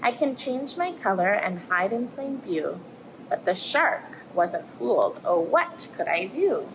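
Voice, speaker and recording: A woman voicing a friendly, plucky little octopus in an expressive rhyming picture-book read-aloud. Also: mid-range voice, measured pace. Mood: anxious, suspenseful. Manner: expressive storytelling. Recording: clear background noise, aquarium room ambience.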